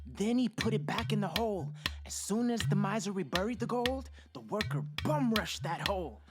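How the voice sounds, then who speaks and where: A woman narrating rhyming fables for a radio drama in a theatrical, expressive, emphatic style. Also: mid-range voice, moderate pace, heavy background noise. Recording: loud music behind narration.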